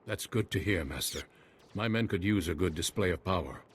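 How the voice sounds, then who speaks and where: An actor playing an earnest noble army general in a video game, speaking in a formal, measured way. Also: male voice, sits low, expressive, slightly rough. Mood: earnest, grateful. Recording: faint battle noise, gunfire behind.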